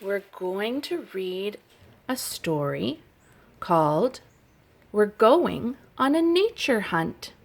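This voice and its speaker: A woman who teaches young children, chatting and reading aloud gently in simple, unhurried phrases with little explanations. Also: medium pitch, sing-song, creaky. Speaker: childcare educator leading story and song time for toddlers.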